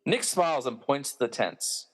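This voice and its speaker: A man's voice: medium pitch, animated, moderate pace. A man narrating a scripted comic radio play with wry, self-aware delivery.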